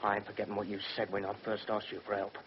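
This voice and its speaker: An actor playing a cocky, menacing gangster, taunting and slangy in a radio drama. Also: male voice, on the low side, monotone, very fast, natural voice. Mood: mocking. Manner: taunting, slangy, mock-friendly, swaggering. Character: cocky, menacing British gangster, freshly acquitted. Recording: muffled, noisy old radio recording.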